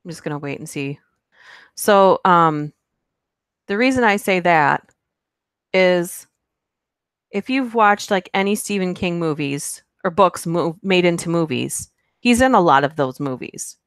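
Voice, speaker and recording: A woman's voice: fairly low pitch, animated, measured pace, long pauses, clean tone, dry recording, clean studio sound. A woman hosting a live stream, chatting casually with viewers, reading their comments and rambling with lots of fillers and giggles. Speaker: horror narration channel host.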